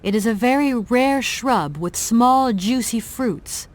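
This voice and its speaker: Young female game lead: high, animated voice, courteous, well-spoken, persistent. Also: slow. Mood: courteous, inquisitive. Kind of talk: video game voice acting.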